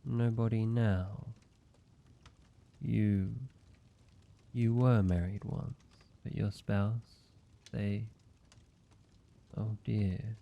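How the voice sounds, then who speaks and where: A man's voice: lowish voice, steady tone, gravelly, lo-fi sound, heavily acted. An actor playing an ancient, flirtatious male vampire in a roleplay audio drama, courteous and teasing with a playful air of menace. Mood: gentle, curious, then sympathetic, hesitant. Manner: playful mock-menacing, teasing, drawn-out delivery.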